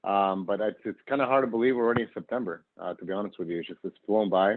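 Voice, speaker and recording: Male interview guest from Argentina, fairly deep, conversational and wandering, heard through a narrow telephone-like connection. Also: very fast, a little hiss or hum. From northern Argentina, moved to the US at ten. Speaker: interview guest, nonprofit executive director. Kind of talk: casual conversational self-introduction. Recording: phone-like, narrow radio-style call audio.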